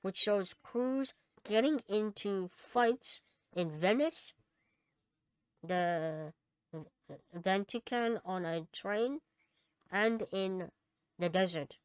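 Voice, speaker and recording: A woman co-hosting a casual podcast, chatting and reading out news items, somewhat halting when she reads aloud. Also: medium pitch, clear, resonant tone, no room sound. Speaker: podcast co-host.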